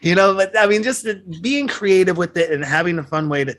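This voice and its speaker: A man's voice: sits high, animated, brisk, fairly bright tone. A man hosting an interview, talking casually with lots of fillers. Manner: rambling, many fillers. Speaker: interview host.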